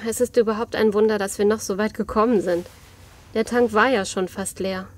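A woman's voice: medium pitch, fairly even intonation, a little fast, lightly acted. A woman playing a caring, reassuring survivor in an audio drama. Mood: concerned. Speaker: voice actor.

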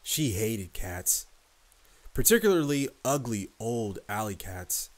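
A man hosting a children's read-aloud, narrating with deliberate phrasing and dramatic pauses. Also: on the low side, lively intonation. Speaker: host and storybook reader.

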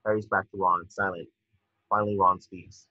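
Husky, low-voiced man reading stage directions. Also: little pitch movement, moderate pace, bright, forceful tone, dry recording. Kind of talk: table read of a screenplay. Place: indoors on a video call. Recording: muffled lo-fi video call audio.

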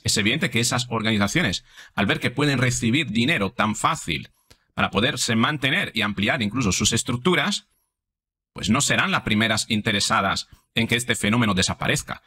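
A man in his forties argues his views into a studio microphone in a small home studio. His voice is bright and pointed, with a mid pitch. He keeps throwing rhetorical tag questions at the listener. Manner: argumentative, frequent rhetorical "¿no?" tags. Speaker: psychologist commentator. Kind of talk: opinion commentary over social posts. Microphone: studio mic on stand in front.

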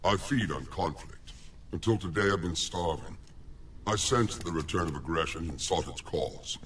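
A man playing a sinister, haughty god of war in a video game, with sly and deliberate menace. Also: deep voice, animated, fairly bright tone, a little hiss or hum. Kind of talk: dramatic video game villain acting.

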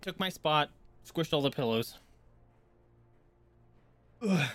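A man's voice: fairly high pitch, animated, takes it slowly, fairly bright tone, dry recording. A man livestreaming a game, chatting informally and wandering into asides for his viewers. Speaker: game streamer. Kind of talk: casual chat while livestreaming a game.

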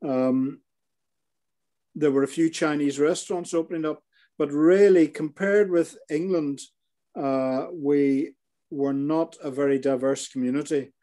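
A man's voice: a little high, steady tone, a little slow, dark tone. An older man with a Northern Irish accent, a retired paediatrician recounting his career in a video call interview, with frequent fillers and restarts. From Northern Ireland. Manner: hesitant, many fillers and restarts. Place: living room in an armchair. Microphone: laptop webcam video call.

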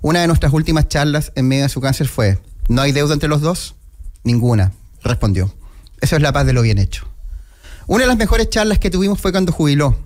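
Low-key Chilean man paying solemn radio tribute. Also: animated, fairly quick. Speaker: radio show host. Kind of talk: solemn tribute monologue, partly read aloud. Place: small indoor radio studio. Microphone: close broadcast microphone with headphones. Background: background music.

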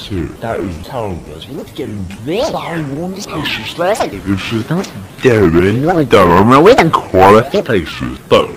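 A young man chatting informally and excitedly in a casual exploration vlog, in a blokey, exclamatory way. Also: medium pitch. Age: young adult. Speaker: vlogger exploring an abandoned site. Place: abandoned buildings and grounds at night.

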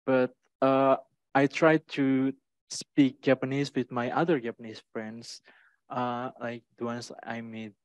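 A young man from Indonesia talks through his class routine on a student panel, steady and explanatory with frequent "uh." His voice sits in a middle range and the handheld mic sounds dry. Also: mid-range voice, unhurried, clean tone, no echo. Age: young adult. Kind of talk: casual panel answers. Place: indoor meeting room.

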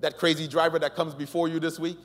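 Middle-aged man, pastor, sermon, animated storytelling, reverberant sanctuary, faint music. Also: fairly high pitch, fast talker. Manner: animated storytelling, swings from loud pleading to quiet. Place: large church sanctuary, preaching from the stage.

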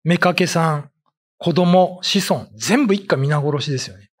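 Middle-aged male commentator, highish husky voice, animated intonation, conversational explaining with frequent pauses. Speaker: ghost story writer and commentator. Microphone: desk condenser mic with pop filter.

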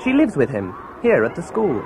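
Young sleuth: keen, self-assured, animated, slightly high pitch, read-along drama with noisy ambience. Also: male voice, normal speed, creaky, crowd noise, full character voice. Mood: earnest, determined. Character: keen, confident schoolboy detective.

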